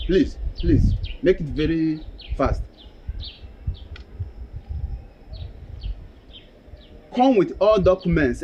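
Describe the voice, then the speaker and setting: A man's voice: fairly high pitch, a little mellow, lo-fi sound, a little hiss or hum, lightly acted. A man playing a nervous land buyer who pleads and repeats himself. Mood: impatient. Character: nervous male land buyer, terrified when robbed.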